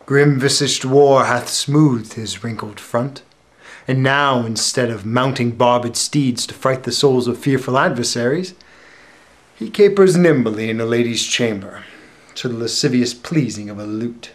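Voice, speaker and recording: Casting: male actor as bitter, plotting, misshapen royal, classical stage monologue, mid-range voice, measured dramatic delivery. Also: mid-range voice, natural voice. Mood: grandly sardonic.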